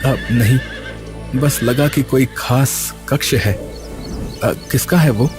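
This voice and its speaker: A man acts out a young village cook for an animated story, honest and humble. The delivery is slow, hesitant and deferential, in a medium-pitched voice. Music plays clearly behind it. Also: natural voice. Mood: curious. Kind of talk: Hindi animated story dialogue.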